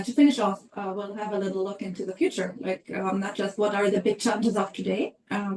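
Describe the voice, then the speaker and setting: A woman's voice: medium pitch, a little mellow, echoey room, recorded from a distance, rough recording. A middle-aged woman from Germany with a German accent, presenting an HR webinar in a conversational way, with many fillers.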